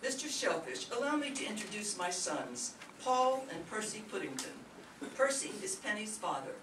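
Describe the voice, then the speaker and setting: A woman's voice: mid-range voice, big pitch swings, moderate pace, a bit bright. A woman playing a haughty, commanding elderly matriarch in a melodramatic radio soap opera parody. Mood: gracious, wary. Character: imperious elderly matriarch of a wealthy family. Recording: echoey stage, far mic, clear noise.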